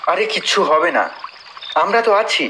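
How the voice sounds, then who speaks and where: A man's voice: sits high, animated, moderate pace, a little hiss or hum, poor audio. An actor playing a carefree, reassuring village boy in an audio story.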